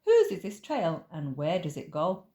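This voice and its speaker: A woman voicing the brave, curious young child of a storybook monster, calling out with high excitement. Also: a little slow. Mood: excited.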